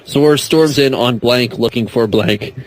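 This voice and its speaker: A man's voice: mid-range voice, a little slow, low-quality recording. A man co-hosting a podcast, chatting casually and joking with loose, profane language. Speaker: podcast co-host. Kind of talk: casual wrestling chat.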